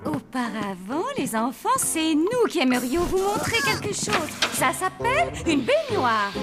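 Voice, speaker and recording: Bossy cartoon mum: extremely high, animated voice, dramatic hotel owner, firm with the kids, music loud underneath. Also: female voice, unhurried. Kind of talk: French children's cartoon dub acting.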